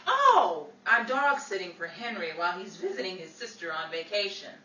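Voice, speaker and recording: A woman playing a witty, exasperated Hollywood actress in a 1940s-style radio comedy, theatrical and quick with dry comebacks. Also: medium pitch, very animated, sharp tone. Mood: wry, needling. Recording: roomy, distant, noisy, crowd sound behind.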